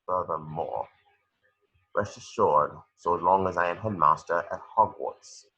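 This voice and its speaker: A man playing a cold, menacing, formal wizard headmaster in a screenplay table read, with raspy, deliberate speech. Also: low voice, pushed and bright, muffled recording, heavily acted.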